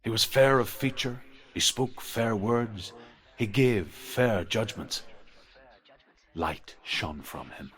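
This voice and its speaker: Deep, solemn myth teller. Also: male voice, fairly bright tone, breathy edge, exaggerated voice. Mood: reverent, grave. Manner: measured, grave, reverent. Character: solemn male storyteller of old Norse legends. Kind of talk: mythic storytelling in a video game.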